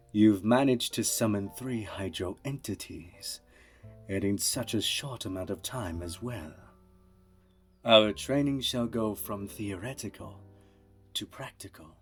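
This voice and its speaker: A man playing a composed, formal magic mentor in a fan dub, speaking in a measured way. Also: on the low side.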